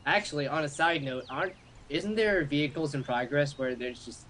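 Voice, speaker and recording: A man's voice: fairly high pitch, normal speed, fairly bright tone. Irreverent man reading along, noisy recording. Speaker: amateur voice-over player in a group. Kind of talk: reading game dialogue with joking asides. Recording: noisy amateur home recording.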